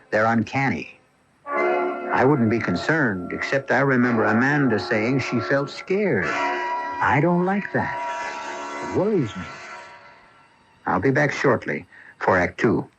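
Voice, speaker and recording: A man playing an ominous storyteller hosting a radio mystery, with deliberate theatrical phrasing and dramatic pauses. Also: mid-range voice, natural voice. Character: ominous storyteller man introducing an uncanny tale. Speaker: host of a radio mystery anthology. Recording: muffled AM radio broadcast recording.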